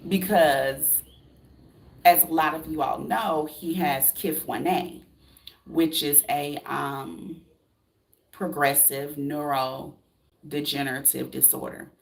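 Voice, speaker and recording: A woman's voice: low-pitched, fairly even intonation, slow pace, vocal fry. A woman in her late thirties, from the United States, giving a blunt, preachy motivational talk to camera, full of rhetorical questions and casual swearing. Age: 30s. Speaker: vlogger.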